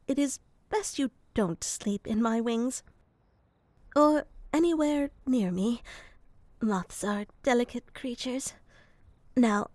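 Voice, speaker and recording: A woman playing an earnest young moth girl who helps people sleep, speaking softly and deadpan, sometimes whispering, close to the mic in an ASMR roleplay. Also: fairly high pitch, dry recording. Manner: soft, slow and deadpan, slips into whispers.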